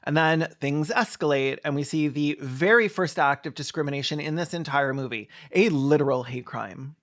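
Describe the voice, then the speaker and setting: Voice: medium pitch, normal speed, fairly bright tone. Sarcastic, campy video commentator. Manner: mocking, campy, profane. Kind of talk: sarcastic comedic film commentary.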